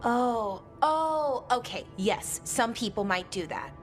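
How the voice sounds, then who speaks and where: A woman's voice: a little high, expressive, takes it slowly, music in the background. An actress voicing an eager, meek young elf woman in a video game, hesitant, earnest and apologetic. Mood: hesitant, curious. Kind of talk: video game cutscene acting.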